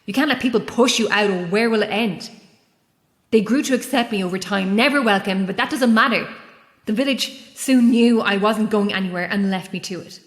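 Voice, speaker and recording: An actress playing an anguished, defiant, guilt-ridden lighthouse keeper in a horror audio drama. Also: female voice, medium pitch, moderate pace, echoey room, big-room sound, close to an everyday voice. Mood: weary confession.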